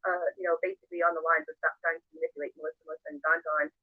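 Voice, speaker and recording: Fast-talking woman on a phone-like line. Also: low-pitched, little pitch movement, dry recording. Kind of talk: casual chat on a live stream. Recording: narrow phone-like audio, played clip.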